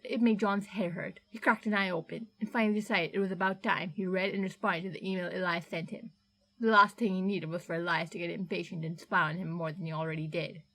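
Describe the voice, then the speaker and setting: A woman's voice: on the low side, fairly even intonation, fast, fairly bright tone, low-quality recording. Woman narrating a podfic steadily. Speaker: podfic reader. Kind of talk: scripted narration of fan fiction.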